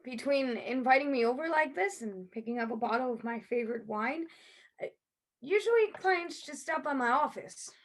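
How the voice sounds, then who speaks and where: High-voiced, courteous woman detective, played by an actress. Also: expressive. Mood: courteous, slightly awkward. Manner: hesitant, measured questioning. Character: female private investigator, courteous, cautious and skeptical. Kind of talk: staged play reading.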